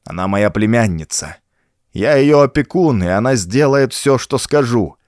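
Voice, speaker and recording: A man voicing a frightened, bullying old man in an audiobook, hurried, nervous and imperious. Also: medium pitch, animated, darkish tone, no echo, clean studio sound, lightly acted. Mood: pushy, impatient.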